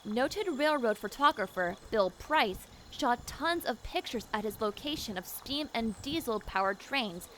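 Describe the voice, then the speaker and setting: A woman's voice: a little high, expressive, measured pace, few pauses, fairly bright tone, slight background noise. A woman reading scripted narration as the narrator of a railroad documentary.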